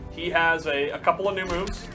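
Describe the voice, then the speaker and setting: A man's voice: on the high side, steady tone, normal speed, over music. A man casually explaining fighting game moves in an instructive, enthusiastic way.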